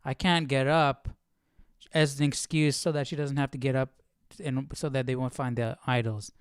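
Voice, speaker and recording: A man's voice: mid-range voice, little pitch movement, normal speed, clean tone, no echo, clean studio sound. A man hosting a Bible study, commenting on the reading in a casual, informal way.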